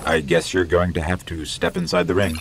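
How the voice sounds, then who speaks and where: Low, wry robot companion. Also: male voice, flat delivery, brisk, a little hiss or hum. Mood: busy, wry. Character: small robot companion guide, male, earnest, wry, eager. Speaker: companion and guide. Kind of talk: scripted game dialogue.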